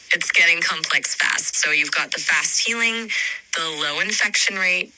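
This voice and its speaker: A woman's voice in an AI chat, calmly summarizing what she hears and gently asking follow-up questions. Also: fairly low pitch, unhurried, soft background music, rough recording. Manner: calm, summarizing, gently probing. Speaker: AI chat partner. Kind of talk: conversational questioning.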